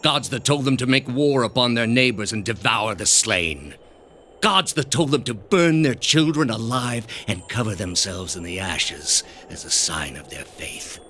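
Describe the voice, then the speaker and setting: A deep, imperious zealot grandmaster. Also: male voice, lively intonation, pushed and bright, a little hiss or hum. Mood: grave, unrepentant. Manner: pompous, deliberate, condescending. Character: cold, imperious male grandmaster of a zealous ancient order. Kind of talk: dramatic video game dialogue.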